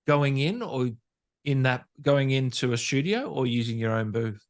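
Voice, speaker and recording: Man, interview host, casual chat, enthusiastic, appreciative, mid-range pitch. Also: animated, normal speed.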